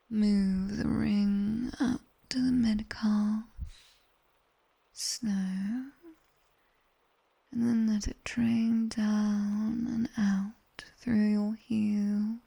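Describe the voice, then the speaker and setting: A seductive British demoness tutor, played by a woman, whispers very slowly into a close binaural mic. Her breathy voice has a faint crackle of fry and a soft, dark edge. She instructs in a commanding, teasing ASMR style, like a sharp-tongued teacher of nightmares. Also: medium pitch, full character voice. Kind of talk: whispered ASMR roleplay, guided body scan. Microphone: close binaural microphone.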